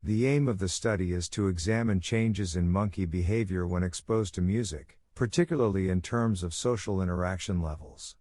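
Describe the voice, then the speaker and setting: A man reading scripted academic passages aloud in a measured, careful, formal way. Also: sits low, a little mellow, no room sound. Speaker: reader of model read-aloud test passages.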